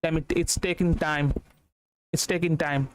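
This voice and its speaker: A man's voice: fairly high pitch, steady tone, a little slow, clear, resonant tone, no room sound. A young man, casually commentating a game livestream in a rambling way, repeating words. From India. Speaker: streamer. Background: game music.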